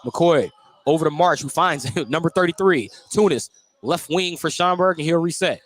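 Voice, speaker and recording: A man's voice: a little high, moderate pace. An American man doing live play-by-play basketball commentary on the radio.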